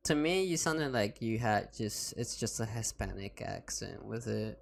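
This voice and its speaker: Male podcast host, gravelly fry, excitable casual chatter with lots of restarts. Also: on the low side, unhurried. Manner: excitable, swearing, stumbling restarts. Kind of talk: casual chat.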